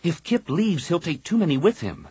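A man voicing a hot-tempered young woman Jedi with a sharp, heated way of speaking. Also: a little high, big pitch swings, moderate pace, husky, no room sound, voice pushed up, exaggerated voice.

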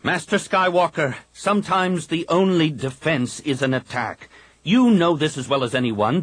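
A man's voice: fairly high pitch, slightly rough, no room sound, voice pushed up, heavily acted. Cocky young Jedi hothead, read by a man, raised voice, dry close sound. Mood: defiant. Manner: insistent, forceful. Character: brash young male Jedi pilot, defiant.

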